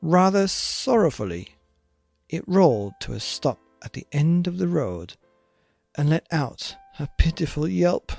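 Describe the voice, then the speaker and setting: A man narrating a children's bedtime story in an expressive, theatrical and deliberate way. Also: fairly high pitch, creaky, dry recording, soft background music. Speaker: podcast narrator.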